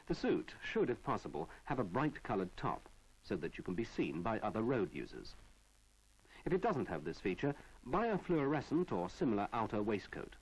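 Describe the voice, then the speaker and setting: A British man narrates a training film in a low register with a husky edge. His scripted delivery is measured and didactic, with plenty of rise and fall in the intonation, on a 1970s film soundtrack. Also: rough recording.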